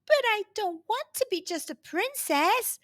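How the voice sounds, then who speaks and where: A woman's voice: very high voice, lively intonation, a little slow, a bit bright, no room sound, clean studio sound, pitched above the performer's own voice, full character voice. A woman voicing a young, defiant, emphatic princess girl who is eager to be a hero in a children's storybook. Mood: defiant pleading.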